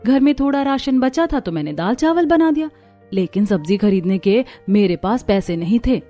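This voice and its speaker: A woman's voice: medium pitch, animated, moderate pace, dark tone, faint music behind, lightly acted. A woman playing a careworn, resigned mother of a poor family in a cartoon story, earnestly explaining. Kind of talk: Hindi cartoon story voice acting.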